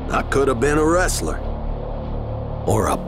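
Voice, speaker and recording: Game soldier: hot-blooded, swaggering, crude Mexican fighter, unhurried delivery, loud music behind. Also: male voice, mid-range voice, very animated. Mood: wry, laid-back. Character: brash, foul-mouthed, hot-blooded Mexican male soldier. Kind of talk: video game cutscene acting.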